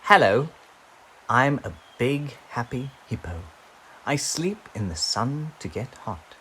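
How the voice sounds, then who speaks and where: A man's voice: mid-range voice, sing-song, slow pace, sharp tone, a little hiss or hum, voice pushed up, exaggerated voice, low-quality recording. A man voicing a big, happy, lazy hippo from a children's storybook, with a contented drawl.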